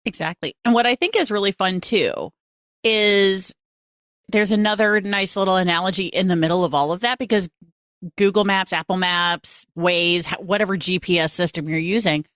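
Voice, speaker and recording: A woman's voice: lowish voice, moderate pace, a bit bright, no echo, studio quality. Emphatic, gushing woman podcast host. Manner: emphatic, gushing, talks in repeated phrases. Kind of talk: casual, animated interview chat.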